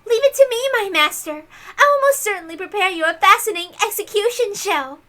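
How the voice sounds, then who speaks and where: Super eager minion girl, shrill n loud, gushy, totally devoted, big anime-style drama. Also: expressive, a little fast, exaggerated voice. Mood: eager, fervent. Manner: gushing, shrill, theatrical, devoted. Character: fawning, fanatically eager young female servant of a witch.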